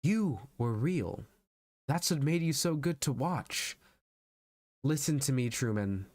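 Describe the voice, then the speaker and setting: A man performing a dramatic film monologue as a controlling, fatherly television creator, measured, grandiose and coaxing. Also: medium pitch, lively intonation, darkish tone, no room sound, studio quality. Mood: solemn, assured. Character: calm, controlling, fatherly male TV show creator.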